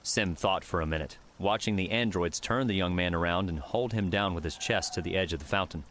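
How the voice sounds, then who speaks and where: Deep-voiced man narrating an audiobook. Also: fairly quick, a bit bright. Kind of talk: scripted narration.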